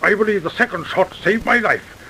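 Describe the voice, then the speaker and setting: Blustering, high-pitched pompous major. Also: male voice, lively intonation, brisk, sharp tone, full character voice. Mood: blustering, defensive. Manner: blustering, stammering, old-fashioned wording. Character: pompous, indignant wounded British gentleman major. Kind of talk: old-time radio drama acting. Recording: noisy vintage radio recording.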